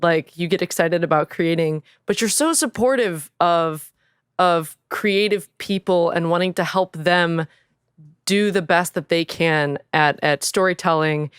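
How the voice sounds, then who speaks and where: Woman, low pitch, smooth voice, casual podcast chat, effusive, sincere, dry sound. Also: measured pace, dry recording. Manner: effusive, earnest. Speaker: podcast co-host and voice actor.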